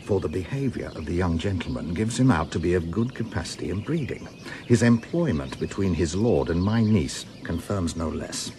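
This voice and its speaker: Man playing a boisterous scheming knight, mocking, lively rise and fall, Shakespearean comedy, faint hiss. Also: normal speed. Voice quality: booming and mocking, with hearty laughter. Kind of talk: Shakespearean stage acting.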